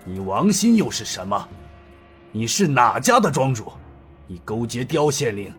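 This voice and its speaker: A man's voice: mid-range voice, sing-song, unhurried, a bit bright, faint music behind. A man voicing a stern, scheming county magistrate with a commanding, sneering way of talking. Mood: scornful.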